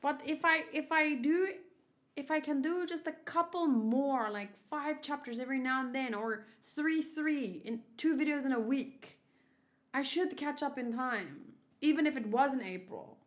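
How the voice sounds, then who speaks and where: A woman's voice: high-pitched, measured pace. A woman streamer chatting casually with her viewers, rambling and thinking aloud as she often repeats herself. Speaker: streamer hosting a manga read-along. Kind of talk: casual livestream chat with viewers.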